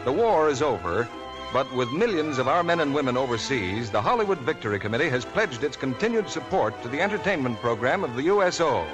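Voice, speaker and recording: A man's voice: medium pitch, very animated, brisk, poor audio. A man announcing on a 1940s radio broadcast, reading public notices in an earnest, declamatory way. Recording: old radio broadcast with music.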